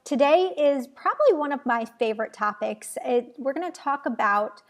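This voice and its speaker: A woman's voice: sits high, animated, moderate pace. A woman hosting an interview show, upbeat and enthusiastic as she leads the conversation. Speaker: show host, business coach. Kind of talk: interview host chat.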